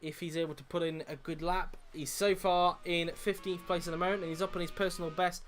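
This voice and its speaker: Croaky-voiced man calling sim racing. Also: high-pitched, a little fast. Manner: steady lap-by-lap play-by-play with frequent "uh" fillers. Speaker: commentator.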